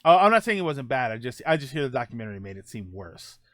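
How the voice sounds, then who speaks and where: A man's voice: medium pitch, big pitch swings, fast, fairly bright tone, no echo, studio quality. A middle-aged man hosting a casual podcast, rambling through viewer comments with many fillers and self-corrections. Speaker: podcast host.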